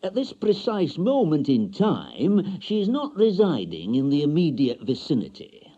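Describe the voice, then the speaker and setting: Man acting a pompous, drawn-out marriage bureau salesman. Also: a little high, huge pitch swings, dark tone, dull audio, pitched above the performer's own voice, exaggerated voice. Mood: pompous, emphatic. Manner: slow, emphatic, officious. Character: pompous, long-winded, smooth-talking marriage bureau salesman.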